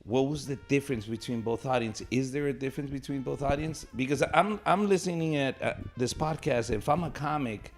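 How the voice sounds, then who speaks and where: A man's voice: medium pitch, brisk, few pauses, soft background music. A man hosting a podcast interview, asking questions and rephrasing his guest's answers. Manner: prompting, rephrases the guest's words. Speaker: podcast host. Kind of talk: casual interview.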